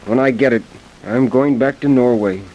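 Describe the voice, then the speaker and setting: A man's voice: mid-range voice, normal speed, dull audio, natural voice. An actor playing a fearful, gullible, sickly man in an old-time radio crime drama, hesitant and plaintive. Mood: weary, suffering. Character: fearful, weak, gullible male immigrant, sickly victim. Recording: hissy vintage radio recording.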